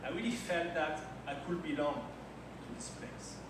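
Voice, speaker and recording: A man's voice: fairly high pitch, steady tone, a little slow. French man telling stories in an echoey hall. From France. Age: 30s. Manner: storytelling, personal anecdotes, non-native phrasing. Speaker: conference speaker. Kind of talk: timed slide presentation. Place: event hall at a launch party. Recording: very echoey hall, distant mic, noisy.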